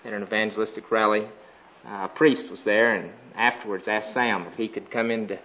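A man giving an earnest mission report to a conference, speaking steadily with frequent pauses. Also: on the low side, steady tone. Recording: dull lo-fi recording.

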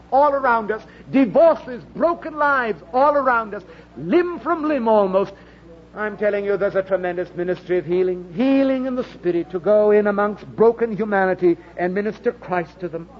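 A man's voice: sits high, a little hiss or hum. A man with a British accent preaching an evangelical sermon with impassioned delivery, repeating phrases for emphasis and putting rhetorical questions to his listeners. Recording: dull, lo-fi sermon recording.

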